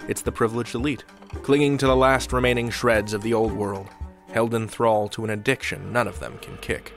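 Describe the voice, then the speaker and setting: A man hosting a podcast with measured, polished scripted narration. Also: on the low side, creaky, a bit bright. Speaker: podcast host.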